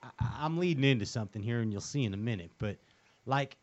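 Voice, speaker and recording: A man hosting a casual podcast interview, excitable and stumbling over repeated words. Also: mid-range voice, quick pace, dull audio. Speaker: podcast host.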